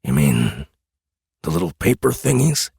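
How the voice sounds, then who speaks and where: A man playing a gruff, wisecracking old man in a fantasy audiobook, with a folksy, grumbling, irreverent way of talking. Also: very deep voice, huge pitch swings, unhurried, a little mellow, no room sound, clean studio sound, voice pushed down, full character voice. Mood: dismissive. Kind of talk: fantasy audiobook character acting.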